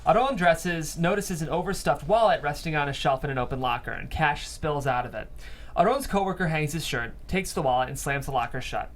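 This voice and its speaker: A man's voice: a little high, brisk. A man reading stage directions as narrator at a script table read.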